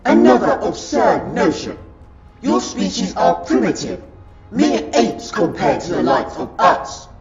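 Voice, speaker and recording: A man's voice: fairly high pitch, huge pitch swings, measured pace, exaggerated voice. A man voicing a haughty, contemptuous reptilian Silurian of a royal court in a sci-fi audio drama, declaiming in a pompous, scornful, formal way. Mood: scornful, outraged. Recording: dull, echoey, under very loud music.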